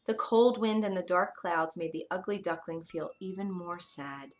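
A woman reading a scripted children's fairy tale in an expressive, deliberate storytelling manner. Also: on the low side. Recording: music behind the narration. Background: music.

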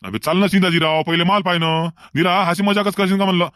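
A man's voice: sits high, fairly even intonation, quick pace, fairly bright tone, smooth, no room sound, clean studio sound. A man voicing an impatient cartoon market buyer, blunt, nagging and folksy, in comedic dialogue. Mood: impatient scolding. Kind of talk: comedic cartoon dialogue in colloquial rural Marathi.

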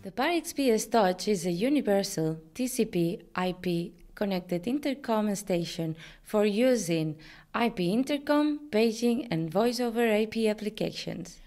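A woman in her thirties speaks as a company presenter. Her voice sits at an average pitch for a woman. She delivers scripted product narration in an upbeat, promotional manner. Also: animated, unhurried, dark tone, faint music behind. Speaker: company presenter, digital marketing manager.

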